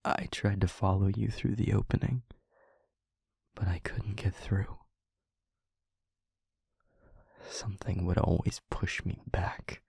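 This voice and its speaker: A low, gravelly male demon, acted out by a man, trapped and anguished, confesses to someone he loves. He speaks slowly and haltingly in an intimate audio drama, each phrase heavy with feeling. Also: flat delivery, mellow, no room sound, clean studio sound, heavily acted. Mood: pained, regretful. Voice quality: husky, breathy, gravelly half-whisper. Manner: halting, slow, emotionally weighted delivery.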